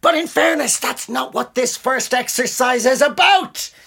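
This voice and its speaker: Lady poetry teacher, radio drama, charismatic and coaxing, gravelly husky voice. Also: high-pitched, measured pace, exaggerated voice. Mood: encouraging. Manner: animated, coaxing. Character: charismatic, unconventional, encouraging female poetry teacher. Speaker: poetry workshop leader.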